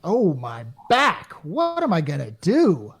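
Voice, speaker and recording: Casting: woman as hapless married everyman for radio comedy, hesitant and flustered, very animated but unhurried. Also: on the low side, a little hiss or hum, exaggerated voice. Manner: stammering, hesitant. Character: flustered, henpecked married repairman Frank, a nervous everyman.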